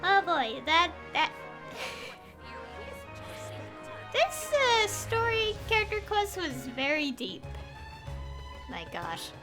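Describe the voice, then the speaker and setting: Young woman streamer, high and lively, rambly casual commentary, game music behind. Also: takes it slowly, pauses often. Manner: rambling, full of fillers and soft laughs.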